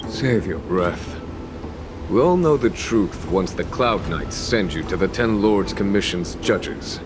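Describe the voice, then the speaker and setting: A man voicing a calm, authoritative general in a video game, measured and formal. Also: low voice. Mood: stern, composed. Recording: loud music behind.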